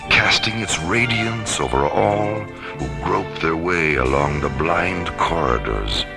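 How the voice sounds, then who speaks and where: A man delivering measured, portentous scripted narration for a science fiction radio drama. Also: low-pitched, vocal fry. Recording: narration over prominent music.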